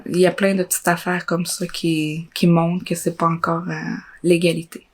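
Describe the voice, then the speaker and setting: A woman's voice: on the low side, completely flat delivery, moderate pace. A young woman from Quebec's Côte-Nord with a Quebec French accent, giving personal testimony in an informal, hesitant way. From Côte-Nord, Quebec, Canada. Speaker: interviewee, social work student.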